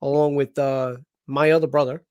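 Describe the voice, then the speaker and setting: A man's voice: mid-range voice, normal speed, clean tone, no echo, highs cut off. A man hosting a casual live reaction chat, talking in a rambling way with lots of restarts. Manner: rambling, restarts often, animated. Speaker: host.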